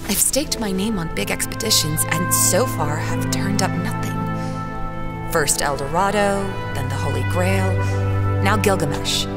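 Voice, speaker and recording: A woman playing a proud, stubborn expedition leader in an adventure audio drama, speaking in a dry, brisk, commanding and teasing way. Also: fairly low pitch.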